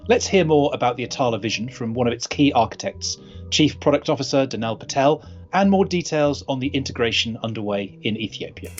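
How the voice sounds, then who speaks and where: Steady male voice-over for a corporate video, slightly dull audio, music bed. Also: medium pitch, a little fast. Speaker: narrator. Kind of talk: scripted corporate narration.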